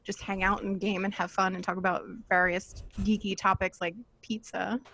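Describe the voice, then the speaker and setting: A woman's voice: mid-range voice, flat delivery, normal speed, a bit bright, slight background noise, poor audio. A woman chatting casually as a podcast host on a panel, informal and giggly.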